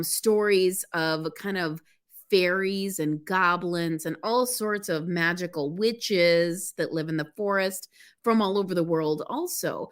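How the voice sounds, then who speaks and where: A woman who is a guest on a podcast, talking enthusiastically and laughing as she tells her story. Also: lowish voice. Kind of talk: casual podcast interview.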